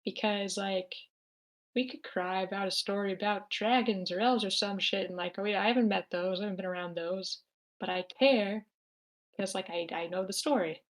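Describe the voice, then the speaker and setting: A woman's voice: medium pitch, moderate pace. A woman talking casually in an online group discussion, searching for words as she explains her thoughts. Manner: informal, searching for words, mild swearing. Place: online meeting.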